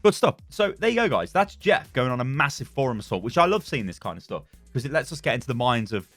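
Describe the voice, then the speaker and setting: A man's voice: medium pitch, big pitch swings, fast, a bit bright, dry recording, soft background music. A young British man chatting casually as a gaming YouTuber, rambling and laughing as he reads and reacts to forum posts. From Britain.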